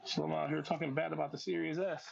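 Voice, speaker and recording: A man's voice: on the high side, flat delivery, fast talker, vocal fry. A man joining a game stream over a voice call, teasing and ribbing the host in casual banter. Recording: muffled voice-call audio.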